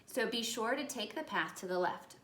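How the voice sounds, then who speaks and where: A woman's voice: fairly high pitch, animated, moderate pace, fairly bright tone, a little hiss or hum. An exasperated yet patient storybook rabbit parent, voiced by a woman, giving careful, insistent instructions. It is recorded in an echoey room. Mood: warning.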